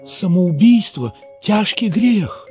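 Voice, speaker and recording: Man playing a nervous young clerk, higher-pitched. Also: lively intonation, slow pace, a little mellow, soft background music. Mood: earnest pleading. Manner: nervous, deferential, stammering. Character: timid, earnest, pimply young male police clerk.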